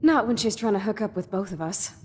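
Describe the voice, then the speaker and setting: A woman's voice: medium pitch, animated, fairly quick, darkish tone, natural voice. A woman playing a bitter, foul-mouthed rebellious young woman in a video game, full of scorn. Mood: scornful. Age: young adult. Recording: long reverb, faint noise.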